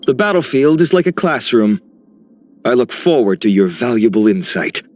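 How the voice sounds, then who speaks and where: A man voicing a noble, philosophical warrior in a video game, measured, earnest and formal. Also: medium pitch, sing-song, a little mellow, lightly acted. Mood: wry, respectful. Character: noble, philosophical young warrior, steady and respectful. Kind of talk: video game character voice lines.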